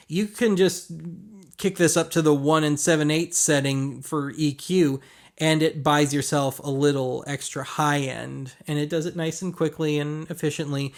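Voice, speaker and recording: A man hosting a casual gear walkthrough, explaining things colloquially with wry, self-deprecating asides. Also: a little high. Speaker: host of a vintage audio gear review show.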